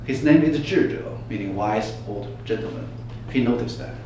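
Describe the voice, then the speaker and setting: A man's voice: fairly low pitch, expressive, normal speed, mellow. A man telling a story as a dramatic, emphatic contest speech, putting rhetorical questions to his listeners. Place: large echoey room, speaking to an audience. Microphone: distant microphone. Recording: echoey room from far off, faint noise.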